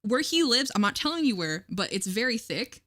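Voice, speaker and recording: Bright, lively young woman streamer. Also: on the high side, brisk. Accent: mixed online accent. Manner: loose, swearing, laughs often. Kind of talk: casual reaction chat.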